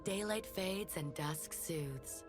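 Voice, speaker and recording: An actress playing a serene, mystical woman of the twilight in a video game, calm and poetic in a long echo. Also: fairly low pitch, slow pace. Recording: long echoing reverb over music.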